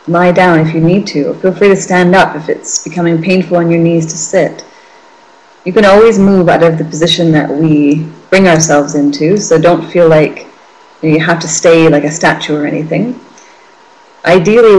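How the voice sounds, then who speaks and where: A woman with a slightly low voice teaches guided meditation. She is gentle and permissive, letting her phrases linger and offering each instruction as a soft, reassuring invitation. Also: rough recording. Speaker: meditation teacher leading a small group.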